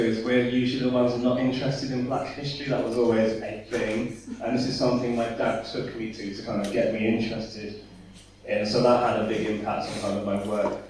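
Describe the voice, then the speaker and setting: A man, an illustrator, talking through his work on a conference panel in a relaxed, hesitant way with many pauses. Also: medium pitch, a little hiss or hum. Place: conference hall. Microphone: distant room mic. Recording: echoey hall, distant mic.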